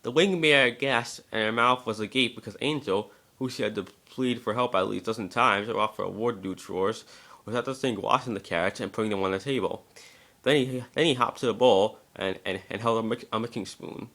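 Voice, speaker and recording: A man's voice: fairly low pitch, brisk, pushed and bright. A man narrating a scripted story as an amateur dramatic reading, now and then stumbling over words.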